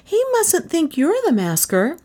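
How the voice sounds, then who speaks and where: Little girl, acted by a woman, high-pitched, anxious, loyal, pleading, storybook character. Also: very animated, unhurried, a little mellow, full character voice. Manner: pleading, earnest.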